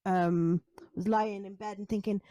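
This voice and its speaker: A woman's voice: mid-range voice, steady tone, unhurried, warm tone, no echo, studio quality. A woman co-hosting a podcast in a casual, chatty way, joking and self-deprecating. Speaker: podcast co-host.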